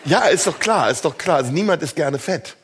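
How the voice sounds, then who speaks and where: A man's voice: fairly high pitch, expressive, a little fast, a bit bright. A man co-hosting a podcast in casual banter, playful and mocking, with lots of punning jokes.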